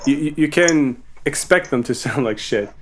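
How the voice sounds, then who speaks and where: A man's voice: a little high, lively intonation, normal speed, slight background noise. A man hosting a casual group Q&A, informal and given to swearing as he reads questions and answers them. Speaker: music producer hosting a Q&A.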